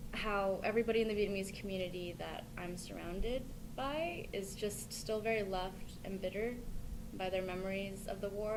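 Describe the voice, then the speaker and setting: A young woman, answering questions slowly and thoughtfully and often pausing on "I mean" and "like". Her pitch sits in a middling range. The recording carries a steady wash of background noise. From United States. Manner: thoughtful, hesitant, fillers like "I mean" and "like". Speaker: interviewee. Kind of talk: casual interview answers. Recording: noisy recording with ambient hiss.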